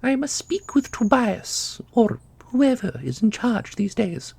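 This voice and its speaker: A woman's voice: a little high, very animated, takes it slowly, vocal fry, darkish tone, breathy edge, no room sound, heavily acted. A woman playing an ancient, secretive divine custodian in a fantasy audio roleplay, knowing, theatrical and condescending. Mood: solemn, secretive.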